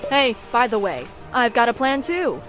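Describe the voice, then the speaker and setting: A sardonic young female pilot in a game. Her voice is fairly high and animated, blunt and teasing, with faint music behind it. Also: moderate pace. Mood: wry. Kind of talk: video game cutscene acting.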